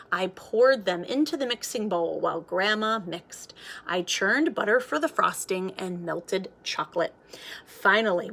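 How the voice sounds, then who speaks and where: A woman reading a children's picture book aloud like a teacher, with expressive, dramatic delivery. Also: medium pitch. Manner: expressive, dramatic sound words and hushed moments.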